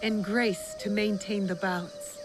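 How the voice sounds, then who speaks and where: A woman's voice: medium pitch, fairly even intonation, measured pace, close to an everyday voice. A woman playing a resolute young shinobi over music. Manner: terse, resolute. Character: young female shinobi, determined, vengeful, honour-bound. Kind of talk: dramatic video game cutscene acting.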